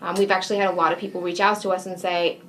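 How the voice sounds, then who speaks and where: A woman's voice: fairly low pitch, little pitch movement, racing, poor audio. A woman whose first language is Spanish, talking in an interview as a lawyer in an upbeat, explanatory way. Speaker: lawyer, firm co-founder. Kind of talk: casual interview.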